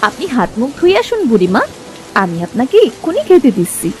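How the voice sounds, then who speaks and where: A woman voicing a hospitable, caring village housewife with an animated manner in a cartoon folk tale. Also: fairly high pitch, fast talker, poor audio. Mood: friendly, attentive.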